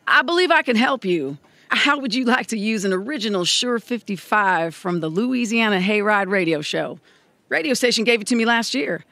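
A woman's voice: mid-range voice, animated, normal speed, fairly bright tone, no echo. An actress playing a sardonic woman bandmate in an audio drama. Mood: helpful.

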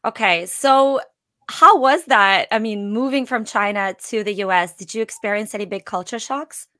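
Host: woman, upbeat, enthusiastic, close dry studio mic, mid pitch. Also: moderate pace, pushed and bright, dry recording. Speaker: podcast host. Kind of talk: podcast interview hosting. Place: small home room by a window. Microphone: studio condenser mic, headphones.

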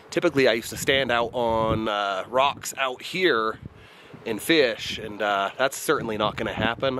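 A man in his thirties vlogging outdoors by a mountain lake in a relaxed, chatty way with plenty of fillers, recorded on an action camera. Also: medium pitch. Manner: relaxed, chatty, lots of fillers, excited exclamations. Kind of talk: casual self-filmed narration. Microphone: action camera on body or at arm's length. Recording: wind noise outdoors.

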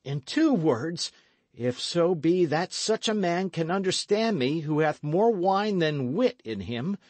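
A man voicing a fierce young male captain who speaks in a sharp, commanding and urgent way, in a voice pitched far above his own. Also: expressive, slow, no room sound.

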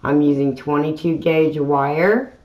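A woman hosting a craft channel, talking through a tutorial in a casual voiceover with plain, unhurried step-by-step explaining. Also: low-pitched, fairly even intonation, warm tone, rough recording. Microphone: voiceover mic.

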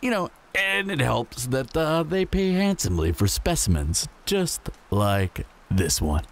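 A man's voice: mid-range voice, very animated, unhurried. A man playing a menacing, smug apocalypse bandit in an audio roleplay, taunting and mock-friendly with a drawl.

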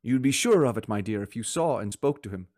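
Man acting a gentle, grieving Victorian father. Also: medium pitch, lively intonation, lightly acted. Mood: earnest, warm. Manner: tender, halting. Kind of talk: radio play acting.

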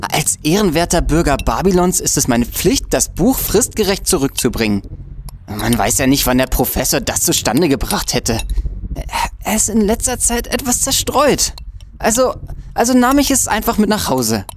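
An actor playing a young student detective, a guileless, dutiful sidekick, in an audio drama. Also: male voice, a little high, sing-song, normal speed, a little hiss or hum. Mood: proudly revealing. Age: young adult. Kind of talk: German audio drama acting.

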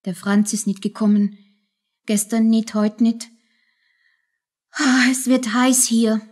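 A woman's voice: a little high, fairly even intonation, slow pace, a little mellow. A woman playing a guilt-stricken, desperate young mother in a radio play, solemn and anguished in her delivery. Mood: despairing. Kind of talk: German radio play acting.

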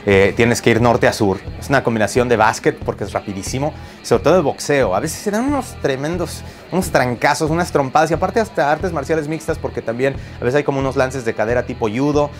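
A man's voice: mid-range voice, fairly quick, hardly any pauses, faint music behind. A man in his thirties with a Mexican accent, a hockey broadcaster chatting enthusiastically in an interview in a locker room. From Mexico City. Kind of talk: interview answers.

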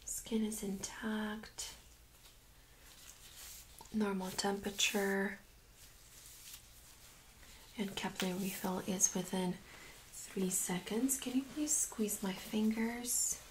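A woman speaking in a soft, breathy near-whisper, gently giving instructions as a medical examiner in an ASMR role-play. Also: medium pitch, steady tone, takes it slowly, long pauses, slight background noise, rough recording. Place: small room indoors.

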